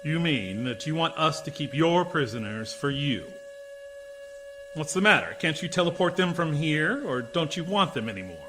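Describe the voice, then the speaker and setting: A man's voice: a little high, very animated, normal speed, music in the background. A man playing a suspicious, sardonic and defiant military commander in a science fiction radio play, impatient and dryly sarcastic. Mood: indignant.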